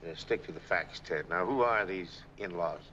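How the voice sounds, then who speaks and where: A man's voice: low voice, normal speed, bright, forceful tone, muffled recording. An actor playing a brusque, skeptical American man in a 1940s film noir, matter-of-fact and wry. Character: brusque, skeptical married man, hard-nosed fact-finder. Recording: 1940s film soundtrack, faint background noise.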